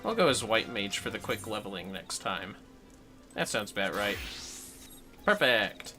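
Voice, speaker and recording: A man livestreaming a game in casual chat, speaking in a low-key way, half muttering to himself and to viewers. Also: sing-song, a little slow, music in the background.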